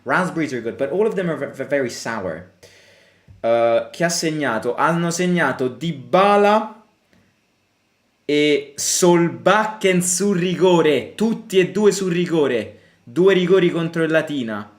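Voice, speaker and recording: A man's voice: a little high, a little slow. Young Italian man hosting a chatty football watchalong. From Italy. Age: young adult. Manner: chatty, answering chat questions between bursts of excited play reactions. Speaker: fan channel host. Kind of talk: casual live football watchalong chat.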